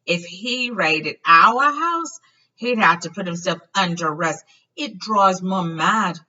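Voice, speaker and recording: A woman's voice: lowish voice, lively intonation, takes it slowly, bright, forceful tone, full character voice. A woman voicing a brash, joking teenage wizard brother in a breezy, emphatic storybook style. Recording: dull lo-fi, far from the mic.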